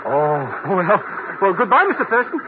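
Jittery high-voiced sidekick, old radio. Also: male voice, sing-song, normal speed. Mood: puzzled, wisecracking. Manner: stammering, ungrammatical, wisecracking. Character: jittery, cowardly, slangy male comic sidekick. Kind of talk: 1950s radio drama acting. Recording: muffled, noisy old radio broadcast.

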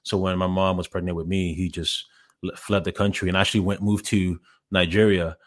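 A man in his thirties, from Ghana, talking as a podcast guest in a relaxed, halting way with many fillers and restarts. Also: sits low. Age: 30s. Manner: hesitant, many fillers and restarts, laughs easily. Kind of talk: casual interview chat.

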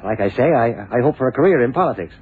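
A man acting as an ambitious young lawyer in a vintage radio drama. His pitch moves a lot as he talks, hesitant and slangy, always on the defensive. It sounds narrow and tinny, with a light layer of noise. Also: animated, fast talker, close to an everyday voice. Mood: defensive, casual. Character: evasive, ambitious young American male lawyer. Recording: thin, noisy 1960s radio drama recording.

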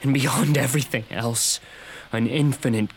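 A man's voice: mid-range voice, steady tone, moderate pace, a little hiss or hum, close to an everyday voice. An actor in a radio drama playing a troubled, brooding man haunted by visions of cosmic horror. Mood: haunted, awed.

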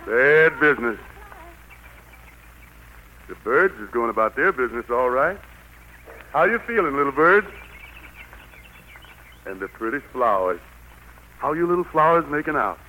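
A lively, folksy Southern Lord, preacher-like. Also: male voice, medium pitch, a little slow, long pauses, a little hiss or hum, low-quality recording. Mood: wistful, gentle. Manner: folksy, admonishing. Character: the Lord as a gentle, stern, folksy old preacher-like man. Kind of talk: 1940s radio drama acting. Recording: vintage 1940s radio broadcast.

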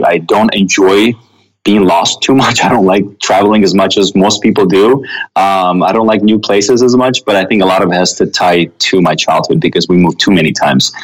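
Low-voiced man telling his story. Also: low-quality recording. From Armenia. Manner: earnest, frequent ums and self-corrections. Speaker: interview guest. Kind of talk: reflective personal storytelling.

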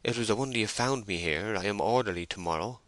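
A man's voice: lowish voice, fast, a bit bright, dry recording, natural voice. A man playing an evasive, reluctant army sergeant in a storybook reading, guarded and dismissive. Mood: cool, evasive.

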